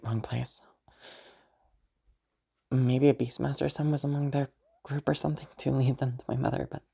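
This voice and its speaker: Young noblewoman for audio roleplay played by a woman: very deep for a female voice, gravelly with heavy fry, fond, teasing, hesitant. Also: exaggerated voice. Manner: hesitant, halting, with soft chuckles and sighs. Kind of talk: roleplay audio drama, intimate conversational acting.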